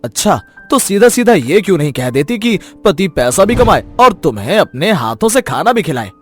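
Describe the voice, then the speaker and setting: Guy acting a melodramatic cartoon story man, kinda high voice, emphatic, music underneath. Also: very animated, normal speed, fairly bright tone. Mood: mock angry. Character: husbands and scheming men in a melodramatic moral story. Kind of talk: dramatic cartoon story dialogue.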